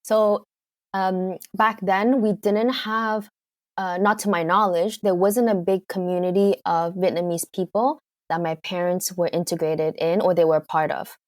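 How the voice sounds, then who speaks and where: Lowish-voiced woman with a Canadian accent. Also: steady tone, unhurried, darkish tone, no room sound, studio quality. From United Kingdom. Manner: thoughtful, hesitant, frequent fillers. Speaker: guest. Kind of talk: casual interview conversation.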